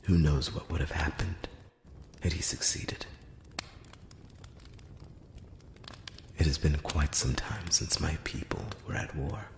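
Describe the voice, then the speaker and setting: A man voicing a solemn, probing elf emissary in a breathy whisper, speaking formally and deliberately in a close-up ASMR fantasy roleplay. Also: very deep voice, roomy sound, a little hiss or hum. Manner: slow, deliberate, formal, with long pauses. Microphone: close-up microphone.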